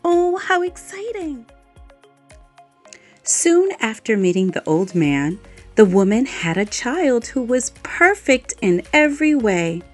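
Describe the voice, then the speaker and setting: A woman reading a children's story aloud, expressive and adding playful little asides to her listeners. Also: on the high side, slow pace. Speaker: storybook reader. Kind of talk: bedtime story read-aloud. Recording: faint background music.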